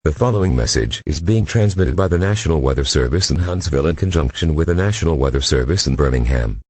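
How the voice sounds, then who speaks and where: A man's voice: a bass voice, expressive, fast, a little mellow, breathy edge, no room sound. A man reading a scripted severe weather warning as an emergency alert announcer, formal and impersonal.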